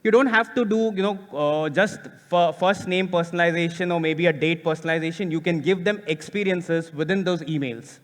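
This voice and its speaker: A man's voice: on the high side, a little fast, few pauses. A man giving a conference presentation, often restarting words and checking in with "right?". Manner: repetitive restarts, frequent "right?" tag questions. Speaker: conference speaker. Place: conference hall. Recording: long hall reverb.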